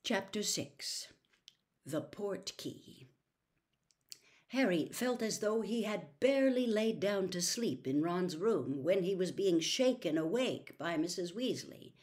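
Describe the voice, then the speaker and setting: A woman reading a story aloud in an expressive narrating style. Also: medium pitch, takes it slowly. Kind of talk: expressive audiobook-style narration with character voices.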